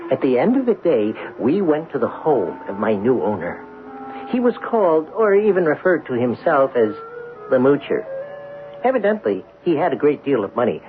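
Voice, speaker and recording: A narrow-band old radio recording with music behind it features a proud little talking coin. His delivery swoops theatrically as he confides his tale like a sprightly innocent. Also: on the high side. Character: talking new dime, boastful, naive, sprightly male. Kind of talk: 1970s radio drama narration. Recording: phone-like lo-fi 1970s radio broadcast recording.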